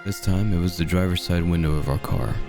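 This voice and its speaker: A man reads a creepypasta in a very deep voice with a gravelly edge. His delivery is measured and dramatic, built for dread. Dark music plays clearly behind him. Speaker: horror story narrator. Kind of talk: scripted creepypasta narration in the first person. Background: dark background music.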